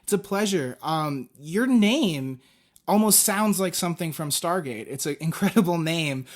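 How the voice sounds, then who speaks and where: A man's voice: a little high, animated, unhurried. A man hosting an interview, asking friendly, rambling questions with restarts. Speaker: interviewer, host.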